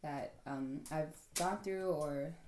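Low-voiced woman from Hong Kong. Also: takes it slowly, darkish tone, roomy sound, a little hiss or hum. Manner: hesitant, many restarts and fillers. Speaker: interviewee. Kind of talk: casual interview conversation.

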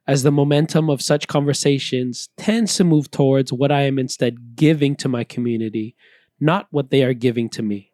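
A man reading a scripted, reflective personal talk in an earnest, measured way. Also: medium pitch, warm tone, no echo, clean studio sound. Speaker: missionary speaker.

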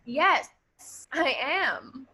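A woman's voice: sits high, animated, drawn-out words, bright, forceful tone, slight background noise, full character voice. A woman improvising a blunt, haughty newspaper writer with a cutting manner in a comedy skit. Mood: unimpressed.

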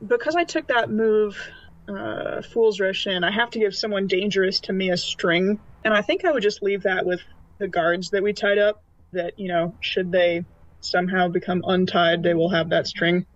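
Lady rpg player, mid pitched, casual chat, close dry sound with no room. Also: steady tone, normal speed, no echo, lo-fi sound. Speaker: tabletop game player. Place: remote online call.

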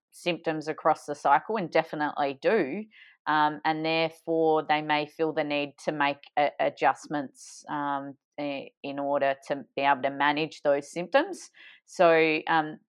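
Low-voiced woman giving a clear, thoughtful summary. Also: dull audio. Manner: thoughtful, hesitant, with many ums and restarts. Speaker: podcast co-host. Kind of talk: summarizing an interview for listeners.

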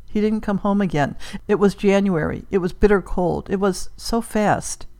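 A woman voicing an earnest, grieving daughter in an audiobook, halting and emotional. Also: medium pitch, mellow, lightly acted. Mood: sad, halting. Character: grieving, earnest daughter seeking her dead father. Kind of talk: audiobook character acting.

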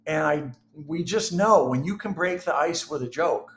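A man's voice: on the high side, lively intonation, normal speed, a little hiss or hum. A middle-aged man telling personal stories candidly and conversationally as an interview guest.

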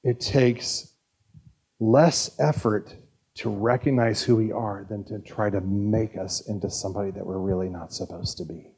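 A man's voice: fairly low pitch, fairly even intonation, moderate pace, a little mellow, husky. A man preaching a sermon at a church service, chatty and joking, with frequent fillers and restarts. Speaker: pastor. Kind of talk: sermon with anecdotes.

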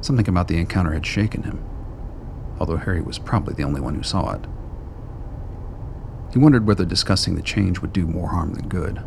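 A man narrating a scripted radio drama in a measured, wry storytelling manner. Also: low voice, creaky, slight background noise.

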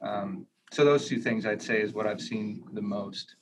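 A man talks thoughtfully as a pastor on a video call, measured and hesitant. Clear hiss and background noise sit under his voice the whole time. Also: lowish voice. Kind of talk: online panel discussion. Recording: noisy video call audio.

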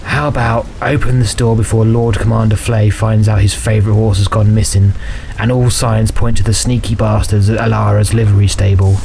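A man's voice: on the low side, little pitch movement, moderate pace, few pauses, warm tone. A man voicing a sly rogue in a fantasy story, by turns sarcastic and wheedling. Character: sly, peevish hooded male rogue, obsequious to superiors. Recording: clear background noise.

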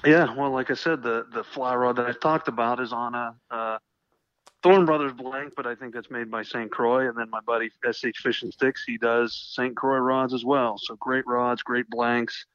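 A slightly hoarse man talking casually and with enthusiasm as a guest co-host on a podcast. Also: medium pitch, fairly even intonation, moderate pace, fairly bright tone, no echo. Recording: dull, lo-fi sound.

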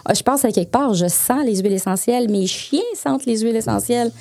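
A middle-aged woman with a Quebec French accent talks in a relaxed, mellow voice. She chats freely as a guest, filling pauses with small hesitations and laughing easily. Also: a little high, gravelly. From Lac-Saint-Jean, Quebec. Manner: chatty and animated, with frequent fillers and laughs. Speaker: guest, essential oils consultant. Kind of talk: casual conversational interview.

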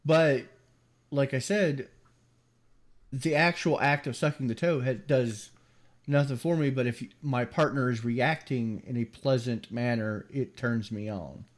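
A man's voice: medium pitch, measured pace. Man, southern US accent, podcast co-host, joking, casual chat. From American South. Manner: joking, laughs while talking.

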